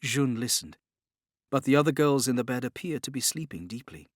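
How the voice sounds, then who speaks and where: A man's voice: mid-range voice, expressive, brisk, no room sound, studio quality. A man reading scripted dramatic narration as an audiobook narrator.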